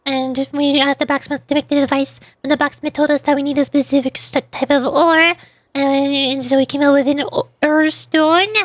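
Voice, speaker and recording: A woman's voice: sits high, flat delivery, normal speed, no room sound. A woman streamer chatting her way through a live game, rambling and stumbling as she explains things. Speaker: VTuber streamer. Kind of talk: live game stream commentary with read-aloud voice acting.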